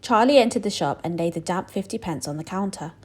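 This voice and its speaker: A woman storyteller reads a children's book aloud. Her delivery is expressive and deliberate, with lively swings in pitch over a medium register.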